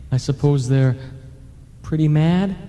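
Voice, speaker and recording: A man's voice: a little high, slow, mellow. A man playing an anxious, good-natured ghost with a plaintive, folksy manner in an old-time radio comedy. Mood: resigned, nervous. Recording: reverberant radio play on analogue tape.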